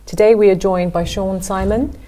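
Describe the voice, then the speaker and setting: A woman's voice: mid-range voice, steady tone, normal speed, warm tone. A woman hosting an interview show, welcoming her guest and asking questions. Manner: welcoming, brief acknowledgements. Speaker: show host.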